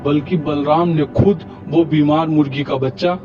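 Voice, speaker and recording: A man's voice: a little high, steady tone, moderate pace, highs cut off, over music, close to an everyday voice. A man playing a humble, kindly poor village husband in cartoon story dialogue. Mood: earnest, deferential.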